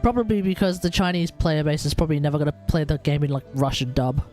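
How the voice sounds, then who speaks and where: A woman's voice: low-pitched, quick pace, a little mellow. A woman streaming a game with casual, rambling chat, often hesitating and repeating words as she goes. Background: game music.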